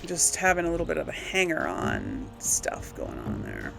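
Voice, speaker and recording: A woman's voice: extremely low pitch, wildly swooping pitch, normal speed, creaky, loud music behind the voice. A woman hosting a casual gaming let's play, thinking aloud in a chatty, folksy way with a slight throaty tickle from a recent cold. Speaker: gaming video host.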